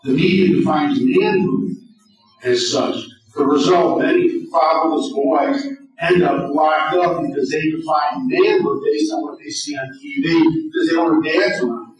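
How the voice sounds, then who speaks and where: A man's voice: a little high, huge pitch swings, a little slow. A middle-aged man, a lawyer, giving an earnest, urgent speech from a podium, telling stories and repeating key points for emphasis. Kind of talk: speech to an audience. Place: large hall, on stage at a podium. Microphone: podium microphone on a stand. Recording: echoey hall, distant, slightly dull.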